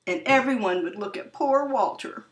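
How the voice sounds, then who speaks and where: A woman reading a children's story aloud expressively, with dramatic, drawn-out emphasis. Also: on the high side, slight background noise. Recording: roomy echo, distant microphone.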